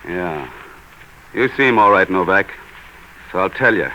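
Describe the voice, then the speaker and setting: A low, forceful surly American gambling boss from 1940s radio noir. He is curt and menacing, on an old recording with faint noise. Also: animated, measured pace, lo-fi sound, heavily acted. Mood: defensive, earnest. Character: tough, surly male gambling club boss.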